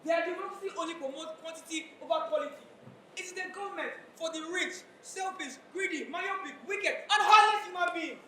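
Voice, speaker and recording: Sharp, high, indignant chief acted on stage. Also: a little fast, far from the mic. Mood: scornful. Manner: forceful, scornful declaiming. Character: blunt, indignant chief warning the monarch. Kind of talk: stage play acting. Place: theatre stage. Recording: very echoey stage, faint noise.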